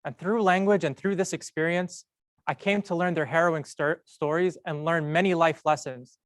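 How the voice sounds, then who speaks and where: A young man tells a prepared story in a measured, earnest way, slowing down and pausing to stress key words. His voice sits a little high for a man. The sound is close and dry, with almost no room echo. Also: fairly even intonation. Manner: measured, earnest delivery with slow emphatic pauses. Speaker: medical student speaker.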